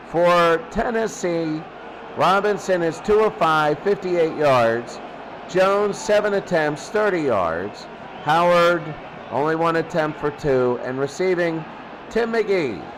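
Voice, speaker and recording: A high-voiced man works as a football play-by-play announcer on radio. He delivers plays and statistics at a slow, deliberate pace. Clear noise runs behind his voice. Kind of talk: live football commentary and stat recaps.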